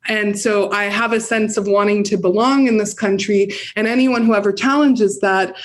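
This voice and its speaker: A woman's voice: medium pitch, fairly even intonation, normal speed. A woman with an American accent giving earnest, reflective answers as an interview guest. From United States. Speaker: guest, campaign director and community organizer.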